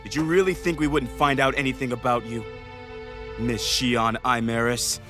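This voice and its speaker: A man's voice: mid-range voice, steady tone, moderate pace, close to an everyday voice. A man voices a hot-headed rebel in a video game. His mid-range voice is sneering, bitter and spoiling for confrontation. Music plays prominently behind him. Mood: sneering, accusing. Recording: background music under voice.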